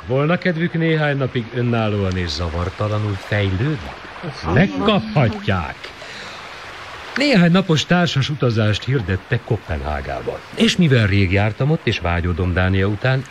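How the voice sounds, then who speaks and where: An actor playing an indulgent middle-aged father in a Hungarian radio drama, speaking with teasing mock formality. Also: lowish voice. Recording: noisy 1980 radio play recording.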